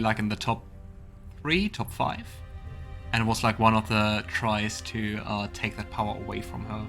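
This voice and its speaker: Wry man doing game commentary over music. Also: fairly low pitch. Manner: musing, wry, conversational. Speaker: let's play host. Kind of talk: casual video game commentary.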